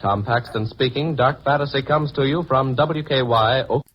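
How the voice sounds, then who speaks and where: A man announcing in formal, measured 1940s radio style, reading scripted material. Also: medium pitch, slight background noise. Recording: dull, husky-sounding 1940s radio recording.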